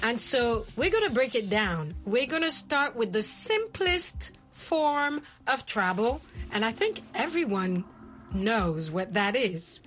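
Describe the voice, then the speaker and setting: A woman's voice: fairly high pitch, very animated, slow, a bit bright, faint music behind. A woman from Kenya hosting a conversational English lesson, playfully repeating and explaining what her guest says. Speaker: host teaching English vocabulary.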